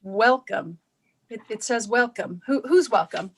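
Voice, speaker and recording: A high voice with a hesitant, stammering delivery from a woman acting. She is a naive, well-meaning suburban housewife, easily flustered, in a staged reading of a play. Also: lively intonation, unhurried, husky, heavily acted. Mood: anxious.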